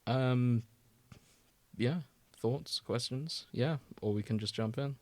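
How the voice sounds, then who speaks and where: Male podcast co-host, mid-range pitch, informal and chatty, occasional swearing, very dry recording. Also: slow, darkish tone, dry recording. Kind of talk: casual banter.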